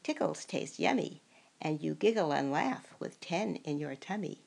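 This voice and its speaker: A woman's voice: low-pitched, lively intonation, measured pace, gravelly, a little mellow, slightly rough, heavily acted. An older woman voicing a confident, know-it-all storybook cook who declares rhymes emphatically.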